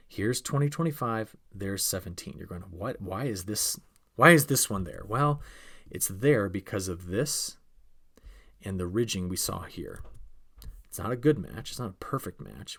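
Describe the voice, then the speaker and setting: A man with a fairly low voice gives an informal weather briefing. He explains like a forecaster talking straight to his followers, conversational and emphatic, often putting questions to the listener. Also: gravelly, fairly bright tone. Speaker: weather forecaster presenting an outlook.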